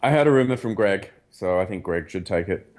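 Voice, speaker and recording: A man's voice: low-pitched, animated, fairly quick, darkish tone. A man co-hosting a podcast in a casual, bantering way. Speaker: podcast co-host.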